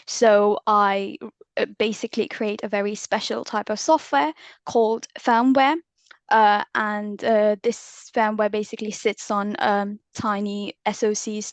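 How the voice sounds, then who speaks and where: Young woman from india, soft and modest, pretty flat voice, dry sound. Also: mid-range voice, dry recording. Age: 20s. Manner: soft-spoken, modest, many ums. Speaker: software engineer guest. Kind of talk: casual interview answers.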